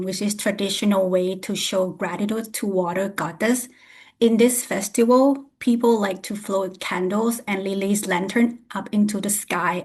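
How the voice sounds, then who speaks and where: A woman from Thailand with a Thai accent, an international student giving an earnest prepared self-introduction. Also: medium pitch. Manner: earnest and grateful, slightly non-native grammar.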